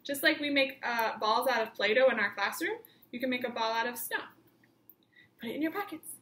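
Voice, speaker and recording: A woman teacher reading a picture book aloud to young children, with expressive emphasis and simple questions put to the listeners. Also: on the high side, a little fast, echoey room, slight background noise. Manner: simple instructive phrasing, questions addressed to children.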